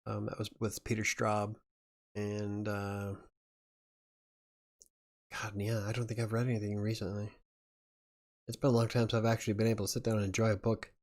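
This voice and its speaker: A man with a fairly low voice hosts a loose live chat. He rambles, laughs between thoughts and speaks. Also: little pitch movement, normal speed, pauses often, a little mellow. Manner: rambling, chuckling often. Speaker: livestream host.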